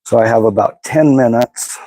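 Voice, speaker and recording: A hoarse man with bronchitis hosting a livestream, chatting casually and speaking straight to his viewers. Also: medium pitch, moderate pace, mellow, no echo, poor audio. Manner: informal, talks directly to the chat. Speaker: livestream host.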